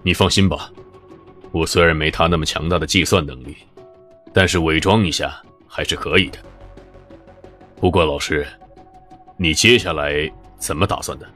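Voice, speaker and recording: An actor playing a loyal young disciple who asks quick, eager questions in an audio drama. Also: male voice, very deep voice, sharp tone, faint music behind. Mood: reassuring.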